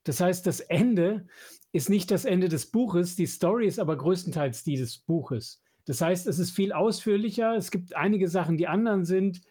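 A man's voice: high-pitched, moderate pace, warm tone, husky. A man co-hosting a podcast, chatting casually and explaining things with enthusiasm. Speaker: podcast co-host. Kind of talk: casual chat about audio dramas and films.

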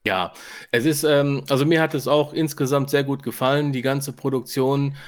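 A man's voice: mid-range voice, fairly even intonation, moderate pace. A man co-hosting a podcast, giving his impressions in a casual, conversational review style. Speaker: podcast co-host and audio drama maker.

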